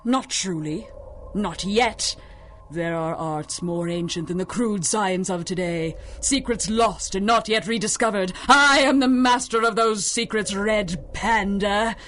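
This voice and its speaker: An actress playing an ancient, life-stealing sorceress who speaks in a silky, menacing, gloating way, in a 1930s-style radio drama. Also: female voice, medium pitch, unhurried, fairly bright tone, slight background noise, natural voice. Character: ancient scheming sorceress woman, over sixty, life-stealing villain.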